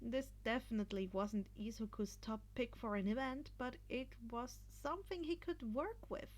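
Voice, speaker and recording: A woman's voice: fairly high pitch, normal speed. A woman narrating fan fiction as an amateur audiobook-style reader, telling a scripted story.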